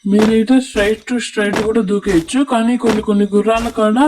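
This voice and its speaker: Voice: medium pitch, level tone, moderate pace, noisy background. A gaming creator with a Telugu accent and a voice changer effect, giving instructional, repetitive gameplay tutorial commentary. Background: music.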